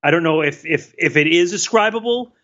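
A man's voice: fairly high pitch, expressive, a little fast, a bit bright, dull audio. A man co-hosting a podcast in casual chat, explaining things in a storytelling way. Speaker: podcast co-host.

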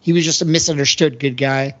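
A man's voice: mid-range voice, steady tone, quick pace, fairly bright tone, muffled recording. A man rambling and thinking aloud as he casually discusses movies as a podcast host.

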